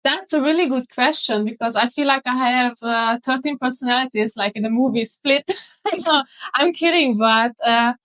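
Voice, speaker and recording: Bright-voiced Hungarian woman, enthusiastic guest. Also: high voice, moderate pace, poor audio. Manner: enthusiastic, animated, laughs easily. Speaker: guest, marketing mentor. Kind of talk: casual interview chat.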